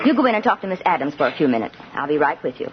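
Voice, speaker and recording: A woman acting a brisk, no-nonsense businesswoman and protective mother, crisp, firm and polite, in 1950s radio drama style. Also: low voice, big pitch swings. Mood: businesslike. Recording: dull, noisy 1950 radio recording.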